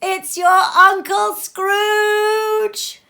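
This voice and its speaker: A woman voicing a plump young sister in a storybook, crying out excitedly in delighted triumph. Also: drawn-out words, gravelly, exaggerated voice. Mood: gleeful triumph. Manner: excited, shrill exclamations.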